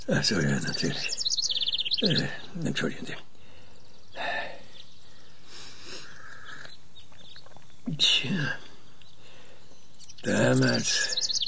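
Gravelly, halting older retired professor. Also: male voice, mid-range voice, noisy background, exaggerated voice. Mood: reminiscing. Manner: halting, hesitant, searching for words. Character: hesitant retired professor, ex-space-programme man, being interviewed. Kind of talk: radio drama acting, recollection.

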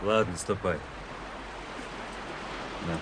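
Low-voiced, commanding army officer. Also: male voice, steady tone, extremely slow, background noise. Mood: curt, imperious. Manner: brusque, questioning. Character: young Russian army officer, curt and commanding. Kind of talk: radio drama acting.

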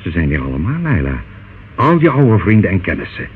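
Low, smooth-talking devious uncle, tinny recording. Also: male voice, wildly swooping pitch, fairly quick. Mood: evasive, soothing. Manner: glib, reassuring, condescending. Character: smooth, devious older uncle, soothing and patronising. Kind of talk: radio drama acting. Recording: phone-like, noisy old radio play recording.

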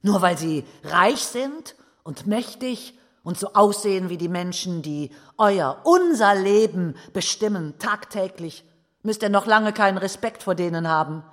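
An actress playing an outraged, idealistic young journalist making a theatrical, impassioned plea in a radio play. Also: female voice, mid-range voice, animated, unhurried, close to an everyday voice. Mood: bitter indignation. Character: outraged young journalist woman, passionate idealist pleading to a courtroom. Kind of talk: German radio play monologue. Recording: reverberant hall, music underneath. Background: music.

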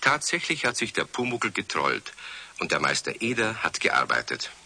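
A man playing the storyteller of a children's radio play, narrating expressively with a husky voice. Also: on the low side, very animated, moderate pace, sharp tone, exaggerated voice. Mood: lively storytelling. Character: male storyteller of a children's tale.

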